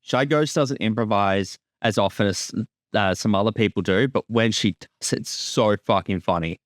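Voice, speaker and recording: A man's voice: fairly low pitch, normal speed, dry recording. Casual man in a dry recording. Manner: informal, swearing, jokey. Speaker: creator giving episode commentary. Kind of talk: casual director's commentary.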